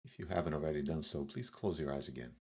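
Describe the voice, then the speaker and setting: Deep-voiced man guiding a meditation. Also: darkish tone, slightly rough. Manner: measured, instructing, with short phrases and pauses. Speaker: meditation guide. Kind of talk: guided yoga nidra meditation.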